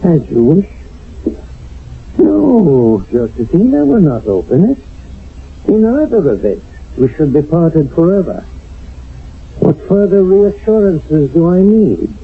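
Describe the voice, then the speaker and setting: A suspicious, coldly controlled husband in an old radio drama. His voice is rough and husky, swinging widely in pitch as he gives formal, deliberate commands. The recording is narrow and dated. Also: very animated, heavily acted. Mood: cold, resigned.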